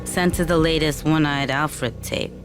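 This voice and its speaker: A weary, low-voiced hooker. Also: female voice, unhurried, over music. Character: bored sex worker keeping a diary.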